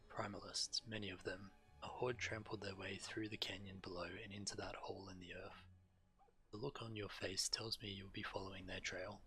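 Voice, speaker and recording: A man's voice: low voice, level tone, normal speed, pushed and bright, low-quality recording. A man who streams games, reading quest text aloud.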